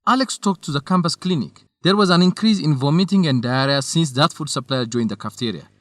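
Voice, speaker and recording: A principled young student acted by a man for a radio drama. The voice is fairly high and recorded dry, setting things straight with firm conviction. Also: brisk, dry recording, lightly acted. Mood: firm, correcting. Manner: firm, corrective. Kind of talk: educational radio drama acting.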